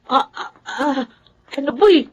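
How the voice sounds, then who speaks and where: A woman's voice: high-pitched, animated, a little slow, slight background noise. A woman with a Filipino accent playing a mischievous, evasive young schoolboy in a radio drama, with a whiny, defensive manner. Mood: defensive denying.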